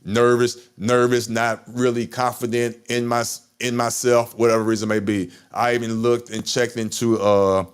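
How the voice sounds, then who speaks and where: A man's voice: mid-range voice, level tone, moderate pace, hardly any pauses, clean tone. A man hosting a casual live podcast chat, talking with a stutter and repeating his words. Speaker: podcast host.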